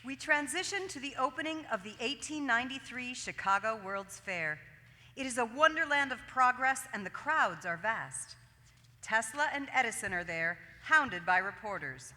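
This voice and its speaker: A woman's voice: fairly high pitch, lively intonation, normal speed, pushed and bright. A woman reading scripted stage directions as narrator of a staged theatre reading. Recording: echoey hall with long reverb.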